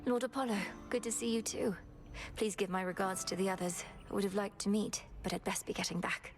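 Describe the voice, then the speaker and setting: A woman's voice: medium pitch, fairly quick, close to an everyday voice. A woman playing an earnest, dutiful young witch princess in a fantasy game, speaking formally and courteously with resolve. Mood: courteous, warm. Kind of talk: scripted game dialogue.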